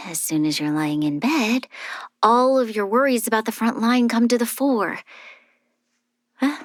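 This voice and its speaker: A woman plays a young heiress and military commander from a video game, recorded close and dry. Her mid-pitched voice is composed and earnest, softly breathy at times. She speaks formally and thoughtfully, with a strong sense of duty. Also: no echo, natural voice. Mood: reflective, dutiful. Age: young adult. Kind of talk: scripted video game character voice lines.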